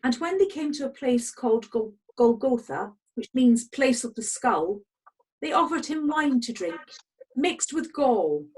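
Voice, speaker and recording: A woman with a husky voice, narrating a scripted dramatic scripture reading over a video call. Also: high-pitched, lively intonation, measured pace, darkish tone, low-quality recording. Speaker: narrator in a congregation's Passion reading.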